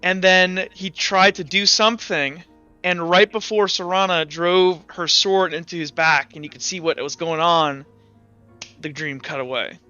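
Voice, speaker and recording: Bright, crisp-voiced man running a tabletop game. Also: high-pitched, steady tone, a little slow, highs cut off, faint music behind. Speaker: game master. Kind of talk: casual tabletop roleplay session talk.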